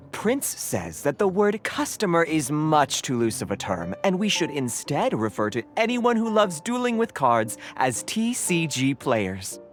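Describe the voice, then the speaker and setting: A woman's voice: low-pitched, very animated, measured pace. A woman playing a reverent, formal and earnest card-game devotee who interprets for a cat in a video game. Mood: solemn, deferential. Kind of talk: scripted video game dialogue. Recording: faint music behind.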